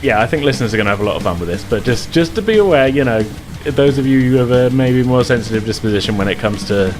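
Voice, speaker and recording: A man's voice: mid-range voice, animated, fast, darkish tone. British man chatting over guitar music. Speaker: podcast host and player. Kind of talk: casual chat.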